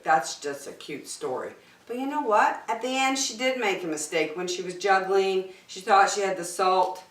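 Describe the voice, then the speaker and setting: Female storytime host: lowish voice, very animated, measured pace, reading to children in a roomy space. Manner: expressive, addresses listeners as friends. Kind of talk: children's picture-book read-aloud. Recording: roomy indoor echo.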